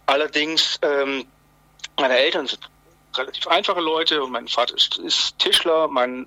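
A man in his fifties from southwest Germany, interviewed by phone, talking with frequent pauses, restarts and a questioning "ne?". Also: medium pitch, sharp tone, no room sound, rough recording. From Swabia, Germany. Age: 50s. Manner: hesitant, many fillers and restarts, tag "ne?". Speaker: interview guest, journalist. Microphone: telephone.

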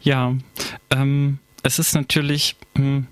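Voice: mid-range voice, little pitch movement, takes it slowly, clean tone, dry recording. A speaker from Berlin answering as an interview guest in a reflective, considered way and choosing words carefully. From Berlin, Germany.

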